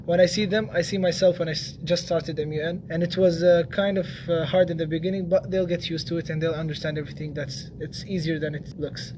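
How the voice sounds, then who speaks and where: A teenage boy with Arabic as his first language speaks in a noticeably high voice, stopping and restarting his words often. His talk is loose and casual, as in a school podcast chat. A faint hiss sits beneath the recording. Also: level tone, quick pace, hardly any pauses. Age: teens. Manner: hesitant, restarts words, many fillers. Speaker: student guest.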